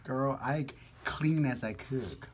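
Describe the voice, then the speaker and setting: A man's voice: medium pitch, animated, slow, a little hiss or hum. A man vlogging casually over a meal, talking in an informal, slangy, teasing way. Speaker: mukbang vlogger. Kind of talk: casual chat while eating.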